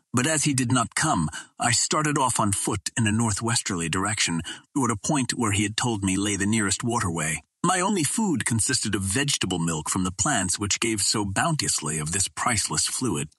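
A man narrating an adventure novel in a deliberate, formal, dramatic storytelling manner. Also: lowish voice, very animated, fairly bright tone, breathy edge, dry recording. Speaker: audiobook narrator.

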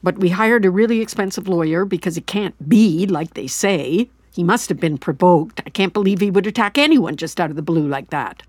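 Older mother, anxious, meddling, rambling, animated pitch, breathy edge, audio drama. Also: moderate pace, few pauses, dry recording, studio quality. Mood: troubled, denying. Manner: rambling, earnest, pushy. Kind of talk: naturalistic audio drama acting.

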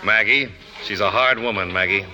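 A man's voice: fairly low pitch, normal speed, pushed and bright, low-quality recording. An actor playing a cocky, plain-spoken magazine man in a 1950s radio drama. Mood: gruff, candid. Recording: vintage 1950s radio broadcast.

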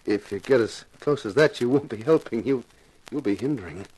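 An actor playing a wisecracking, persuasive TV detective star turned amateur sleuth in a 1950s radio detective drama. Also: male voice, medium pitch, lively intonation, fairly quick, a little mellow, breathy edge, low-quality recording. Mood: guarded. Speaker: lead actor and narrator. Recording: vintage 1950s radio recording.

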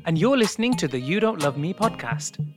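A man's voice: high-pitched, big pitch swings, brisk, music in the background. A man co-hosting a podcast in casual, teasing banter with his husband, laughing often. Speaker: podcast co-host.

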